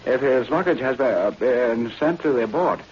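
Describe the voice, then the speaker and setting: An actor playing a servile, stuttering Chinese assassin in halting, formal English, in 1940s radio drama style. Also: male voice, mid-range voice, animated, a little mellow, close to an everyday voice. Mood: sly. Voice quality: stammering. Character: stuttering, servile Chinese man, secretly a quiet knife-wielding assassin. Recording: muffled, noisy old-time radio recording.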